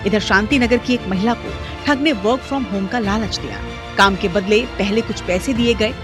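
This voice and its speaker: A woman's voice: mid-range voice, measured pace. A woman reading a scripted news voice-over with punchy, dramatic phrasing. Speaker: news report narrator. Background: faint music.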